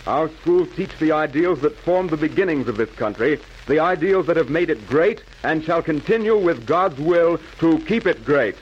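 A man's voice: a little high, expressive, normal speed, few pauses, natural voice. A man playing a solemn patriotic orator declaiming a wartime speech in 1940s radio style. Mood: solemn, fervent. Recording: dull, noisy old 1940s radio recording.

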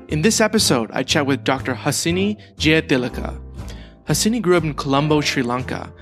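A man hosting a podcast, reading a scripted introduction and then asking questions in a relaxed, hesitant way. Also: on the high side. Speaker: podcast host. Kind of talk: conversational podcast interview.

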